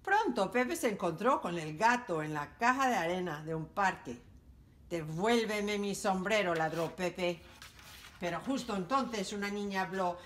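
A woman reading a children's picture book aloud for library storytime, in an animated, sing-song storytelling manner. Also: lowish voice, normal speed, slight background noise.

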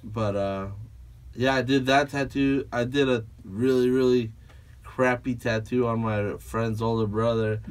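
A man's voice: medium pitch, steady tone, a little slow, distant mic. A man from Peru, tired after a late night, telling stories casually in a small podcast studio, rambling with lots of likes and fillers. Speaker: guest tattoo artist. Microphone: studio mic on a boom arm, headphones on. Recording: voice a little off-mic.

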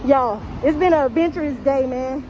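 A woman's voice: high-pitched, moderate pace. A young American woman chatting casually and slangily to viewers as she vlogs, her voice slightly muffled by a face mask. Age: young adult. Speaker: vlogger. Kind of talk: casual vlog chat to viewers. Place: outdoors, walking on city streets and waterfront. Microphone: phone camera held at arm's length. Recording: dull phone audio, outdoor street noise.

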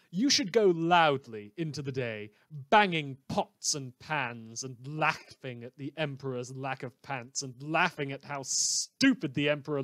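A man playing an unhinged, sardonic radio host who delivers halting, wordy monologues in a theatrical manner. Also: mid-range voice, fairly bright tone, dry recording, close to an everyday voice. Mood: manic, gleeful. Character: rambling, unhinged male radio host in a superhero world. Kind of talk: satirical radio news monologue.

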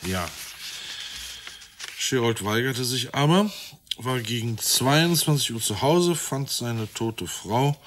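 An actor playing a methodical, matter-of-fact police detective with a wry touch in a radio crime drama. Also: male voice, medium pitch, animated, slow pace, fairly bright tone, slight background noise. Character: methodical, dryly reasoning male police detective. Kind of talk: naturalistic radio drama dialogue.

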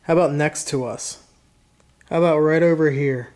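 A man working as a paranormal investigator, chatting casually in a dark indoor hall. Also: fairly high pitch, normal speed. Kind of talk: casual chat during a ghost hunt.

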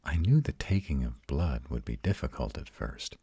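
A man playing an ancient vampire who tenderly comforts his mate in a soft, intimate roleplay audio drama. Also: expressive, no echo, heavily acted. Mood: tender, apologetic. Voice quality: very deep, husky. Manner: soft, intimate, slow reassurance. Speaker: voice actor.

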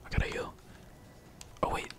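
A man whispering casual, slangy and sweary ASMR gaming commentary in a breathy, playful mutter, as a streamer talking to viewers. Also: normal speed. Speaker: gaming streamer, channel host.

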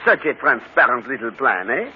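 An actor playing a shrewd, courteous, self-assured detective with a French accent, precise and theatrical, in an old radio mystery. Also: male voice, medium pitch, very animated, normal speed, natural voice. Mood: triumphant, mocking. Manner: theatrical, precise, mock-polite. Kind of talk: 1940s radio mystery acting. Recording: tinny, hissy old radio broadcast.

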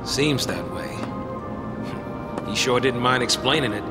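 A man's voice: mid-range voice, a little slow, natural voice. A man playing a wry, weary, down-to-earth middle-aged character in a video game, with a sardonic manner. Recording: loud game music behind the voice. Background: game music.

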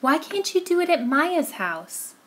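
A woman's voice: high-pitched, very animated, measured pace, fairly bright tone, exaggerated voice. A woman voicing a firm, dismissive mother in an amateur doll movie. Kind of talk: amateur doll stop-motion voice acting.

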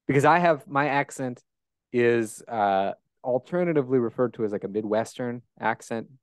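Chatty American host, Great Plains accent. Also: male voice, medium pitch, animated, normal speed, clear, resonant tone, no room sound, clean studio sound. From United States. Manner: curious, chatty, many restarts. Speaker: podcast host. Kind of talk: casual interview chat. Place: bright room at home. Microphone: handheld dynamic mic close up.

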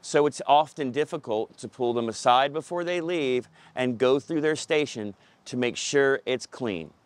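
A man's voice: medium pitch, measured pace, no room sound. A man in his fifties with a mid-range voice speaks as a service professional giving prepared tips. His delivery is plain-spoken and instructional, addressed straight to camera. He is recorded outdoors with a clip-on lapel mic. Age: 50s. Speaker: pest control company representative. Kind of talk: scripted advice to camera. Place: outdoors in a parking lot beside a pickup truck.

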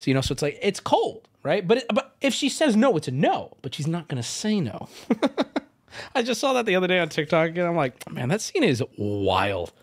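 Man, streamer, crude jokes, excitable, lively, slightly high. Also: normal speed. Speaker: streamer reacting to a video. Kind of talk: casual live-stream commentary.

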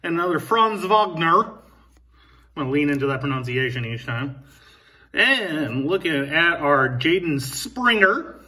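A man's voice: on the high side, lively intonation, unhurried, bright, forceful tone, distant mic. A man in his thirties co-hosting a card-opening show, making dry, self-mocking jokes as he reveals his cards. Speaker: co-host opening card packs. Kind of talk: casual card-opening chat. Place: indoor room at a table.

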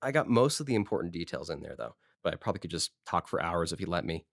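Friendly small town talker, deep-ish, casual, bit wistful, podcast drama, no room sound. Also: male voice, sing-song, fast, gravelly, dry recording, clean studio sound, exaggerated voice. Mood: cheerful. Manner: talkative, casual, folksy. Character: chatty, friendly small-town local man, wistful underneath. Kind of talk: conversational audio drama acting.

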